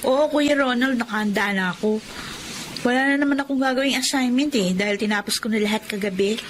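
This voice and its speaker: A woman's voice: on the high side, fairly quick, a little hiss or hum, lightly acted. A woman with a Filipino accent playing an eager, dutiful schoolgirl sister in a radio drama. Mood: eager, sympathetic.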